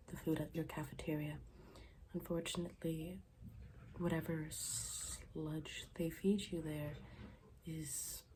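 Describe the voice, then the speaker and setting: Morbid, formal schoolgirl for ASMR roleplay, played by a woman: lowish, velvety dark, deadpan, soft whispery delivery. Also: slight background noise, poor audio. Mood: dry, disdainful. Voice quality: soft, breathy, often dropping into whisper. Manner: deadpan, formal, deliberate wording.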